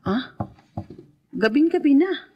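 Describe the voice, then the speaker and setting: A woman playing a gentle, anxious young woman in love, soft and caring, in a radio drama. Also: high voice, very animated, takes it slowly, lo-fi sound, full character voice. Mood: tender concern.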